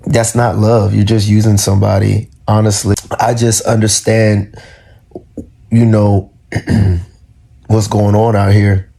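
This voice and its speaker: A man's voice: fairly low pitch, dark tone. A man giving earnest, lecturing commentary to camera about love and money in relationships.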